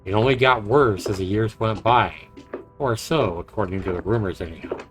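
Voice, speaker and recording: An actor playing a brooding, guilt-ridden but dryly charming man in a visual novel, measured and reflective. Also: on the low side, lightly acted. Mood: pensive. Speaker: narrating protagonist. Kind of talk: visual novel voice acting, introspective narration and dialogue. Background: music.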